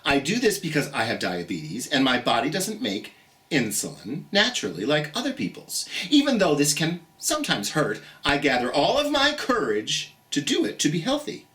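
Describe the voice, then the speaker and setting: A storytime host reads to children in a mid-pitched voice that rises and falls dramatically. The delivery is slow and encouraging, as if speaking straight to young listeners. The room adds a noticeable echo. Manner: expressive, encouraging, asks questions of young listeners. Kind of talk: animated read-aloud storytime for children. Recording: roomy, echoey sound.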